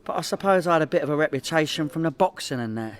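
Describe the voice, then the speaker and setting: A man's voice: fairly high pitch, lively intonation, fast, natural voice. A man playing a hopeless, boastful petty criminal with a London accent in a comedy radio play, matey and defensive.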